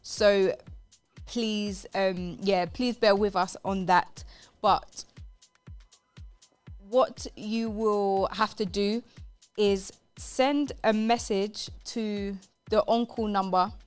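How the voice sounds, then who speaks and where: Clear-voiced woman hosting radio. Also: mid-range voice, fairly even intonation, drawn-out words, faint music behind. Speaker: radio show host. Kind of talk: upbeat live radio presenting.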